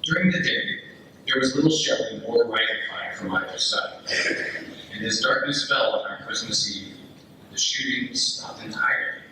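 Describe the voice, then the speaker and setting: A man's voice: fairly low pitch, lively intonation, normal speed, bright, forceful tone, full character voice. A man playing a candid British First World War soldier, telling a friend of the front in plain, emphatic readers theater style. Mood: marvelling. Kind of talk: readers theater letter reading. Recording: distant, very echoey room, faint noise.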